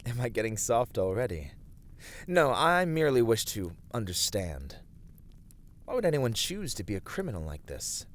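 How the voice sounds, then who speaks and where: A man's voice: mid-range voice, sing-song, normal speed, natural voice. A man playing an earnest, courteous fantasy adventurer in an ASMR roleplay, speaking in formal, thoughtful, slightly hesitant phrases. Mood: pensive, troubled.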